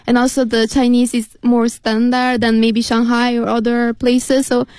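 Young woman guest, native Spanish speaker, high voice, hesitant fillers, dry studio. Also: level tone, normal speed, darkish tone, dry recording. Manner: hesitant, many "like" and "yeah" fillers. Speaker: guest, foreign student. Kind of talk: casual interview answers.

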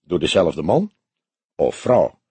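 A man reads as a young police detective in a crime audiobook. He is earnest, inquisitive and lightly teasing, with a very husky tone and lively pitch. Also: takes it slowly, no room sound, heavily acted. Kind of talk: acted dialogue in an audiobook.

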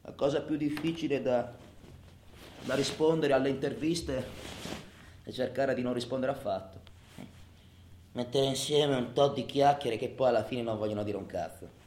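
A man's voice: mid-range voice, moderate pace, long pauses, a little hiss or hum, lightly acted. Man acting a cynical convict, echoing room. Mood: sardonic. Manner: colloquial, muttering to himself, with sudden loud outbursts. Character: cynical, bitter male convict musing in his cell. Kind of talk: radio theatre monologue. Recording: roomy, echoing sound.

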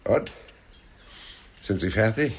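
An actor playing a laconic, blunt private detective in a German radio crime drama, with a gravelly voice and a dry manner. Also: male voice, lowish voice, lively intonation, takes it slowly, full character voice. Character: hard-boiled male private detective, laconic and probing.

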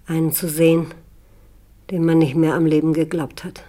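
Eine Schauspielerin in einem deutschen Hörspiel spielt eine liebevolle, beschämte Tante, die stockend und vertraulich von dunklen Zeiten erzählt. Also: female voice, low voice, little pitch movement, moderate pace, warm tone. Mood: ashamed, tender. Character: ashamed, affectionate aunt recalling dark times. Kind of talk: German radio play dialogue.

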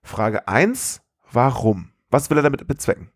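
A man co-hosting a podcast, telling film scenes in a casual, colloquial and animated way. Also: mid-range voice, normal speed, no echo, studio quality. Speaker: podcast co-host. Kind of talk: casual film-review chat.

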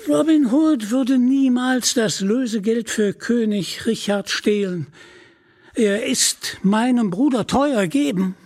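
A man doing an agitated, high-pitched villainous prince. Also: slow pace, darkish tone, full character voice. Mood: indignant. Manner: vehement, anxious, exclaiming. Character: agitated, wary, gullible royal prince villain. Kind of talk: storybook character acting.

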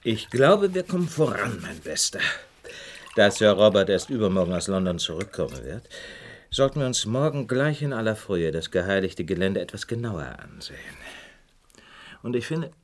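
A shrewd, courteous gentleman detective for radio drama. His voice sits fairly low but moves with great range. He speaks with urbane, theatrical deliberation, probing and sure of himself. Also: big pitch swings, moderate pace, a little hiss or hum. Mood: assured, reflective. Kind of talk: German radio play acting.

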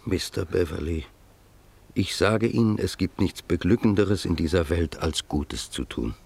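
Grave pastor, very low pitch, solemn, admonishing, measured, middle-aged, radio drama. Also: male voice, animated, gravelly, darkish tone, husky, full character voice. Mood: solemn, pleading. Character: grave, morally earnest male village pastor. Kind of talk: German radio play acting.

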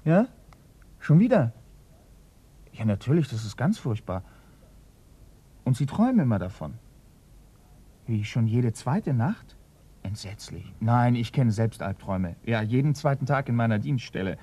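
An actor in a radio play as a talkative police assistant, speaking in a wordy, mock-formal, ironic way. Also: male voice, medium pitch, animated, unhurried, pauses often, mellow, slightly rough. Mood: mock sympathy. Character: talkative, needling, theatrical police detective's assistant.

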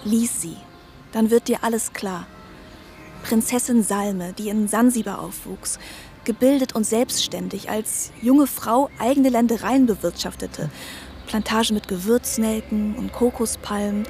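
A woman's voice: a little high, unhurried, darkish tone, faint music behind. An actress playing a self-willed, educated, outspoken young woman in a conversational radio drama. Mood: admiring, animated. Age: young adult.

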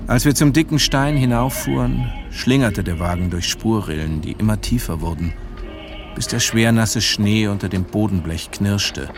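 An actor as a middle-aged man narrating a literary radio play. His voice is low, measured and reflective, with a weary melancholy. Music plays clearly behind him. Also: close to an everyday voice.

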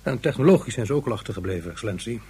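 A man playing an earnest scientific official, formal and deliberate with some hesitation, in a science fiction radio play. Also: fairly low pitch, lively intonation, warm tone, breathy edge, heavily acted. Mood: indignant, puzzled. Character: earnest male scientific official reporting to superior.

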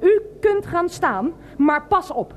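A stern self-righteous interrogator. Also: female voice, very high voice, very animated, takes it slowly, full character voice. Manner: curt, scolding, authoritative. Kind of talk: 1990s radio play acting.

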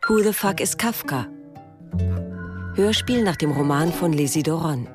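Radio play announcer: female, deep register, scripted delivery over plucked-string music. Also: expressive, measured pace.

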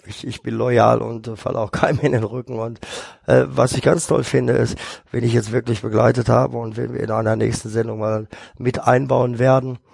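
Male therapist guest, gravelly husky tone, monotone, rambling delivery, dry close mic. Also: mid-range voice, normal speed, no echo. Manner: rambling, with many fillers and restarts. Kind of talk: casual podcast chat.

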